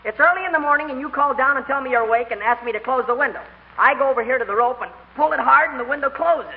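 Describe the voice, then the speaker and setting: A man's voice: very high voice, very fast. An actor playing an eager, apologetic fifteen-year-old American inventor boy in 1940s radio drama style. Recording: muffled, thin old radio broadcast.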